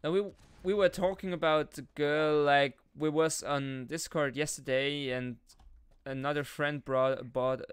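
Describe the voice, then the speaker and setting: Male Swiss German game streamer, clean smooth voice, dry close sound, casual teasing banter. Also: on the high side, steady tone, measured pace, no room sound. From Switzerland. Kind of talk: casual banter while gaming online.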